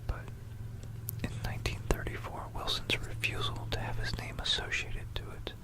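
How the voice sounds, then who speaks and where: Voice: moderate pace, rough recording. An ASMR narrator from Florida with an American accent whispers a musing, explanatory history talk close to a stereo microphone. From Florida, United States. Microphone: close stereo binaural microphone.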